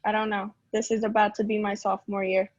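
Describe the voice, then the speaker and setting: A woman's voice: mid-range voice, flat delivery, quick pace, no room sound. Young new woman on a call, chatty and frank, kinda muffled dry audio. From New York City. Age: young adult. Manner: chatty, candid, friendly. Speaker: student.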